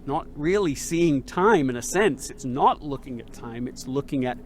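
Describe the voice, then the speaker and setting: A man hosting a podcast interview, talking in a halting way with many repeated starts as he reflects and builds ideas aloud. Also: mid-range voice, expressive, a little hiss or hum. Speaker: podcast host. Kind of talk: conversational interview.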